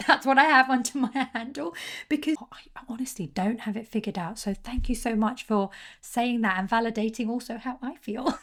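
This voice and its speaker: A woman hosting a podcast, reading a scripted intro and then chatting with her guest in an effusive, encouraging way. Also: high voice. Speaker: podcast host.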